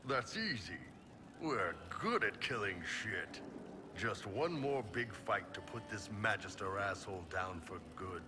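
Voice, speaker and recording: A man's voice: lowish voice, lively intonation, a little slow, over music. A man playing a big, cocky, battle-loving mercenary in a video game, talking with blunt, casual swagger. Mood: confident, gruff. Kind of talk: video game character dialogue.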